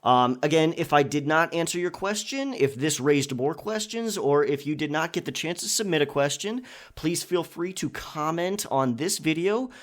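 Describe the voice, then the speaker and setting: A man's voice: on the high side, lively intonation, normal speed. Man chatting casually to his listeners. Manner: conversational, chatty. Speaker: audio roleplay creator and channel host.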